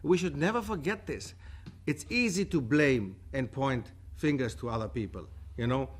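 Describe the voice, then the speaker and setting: Lively, storytelling man in his forties, a lecturer from Germany, speaking at a table mic in a room with a projection screen. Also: mid-range voice, moderate pace, slightly rough. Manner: animated, gestures with both hands, tells stories. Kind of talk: university talk with audience questions. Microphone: table mic on a stand.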